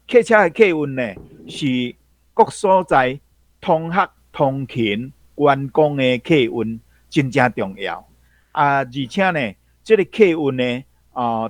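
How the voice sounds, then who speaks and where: A man's voice: mid-range voice, no echo. A man with a Taiwanese accent explaining local history as a guest commentator, phrase by phrase.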